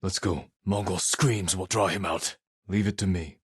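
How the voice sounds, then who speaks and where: A man playing a stoic, honorable samurai warrior in a video game, husky and measured, with terse, solemn delivery. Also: low voice, fairly even intonation, no room sound, clean studio sound. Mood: cold, determined. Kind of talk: video game dramatic voice acting.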